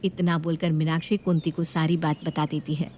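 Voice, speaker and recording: A woman narrating a cartoon moral story in a steady, plain storytelling voice. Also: deep voice, moderate pace, a little hiss or hum.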